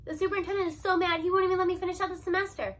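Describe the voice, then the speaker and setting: A woman narrates a story aloud in the first person. Her voice sits high and has a bright, forward edge. She reads expressively, stressing key words in an animated storytelling manner. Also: racing.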